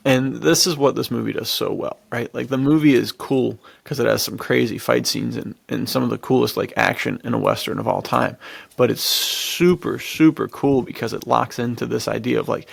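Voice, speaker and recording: A man's voice: mid-range voice, gravelly, no room sound. A man co-hosting a podcast, chatting casually and enthusiastically in slang as he retells scenes. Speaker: podcast co-host.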